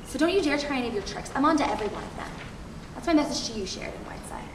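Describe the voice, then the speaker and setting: A woman's voice: high voice, animated, racing. A young woman playing a wisecracking secretary, cynical yet giddily in love, in an emphatic stage monologue. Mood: elated, defiant. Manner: emphatic, declarative. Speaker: student actor. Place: theatre workshop performance space. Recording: echoey room from far off, hissy noise.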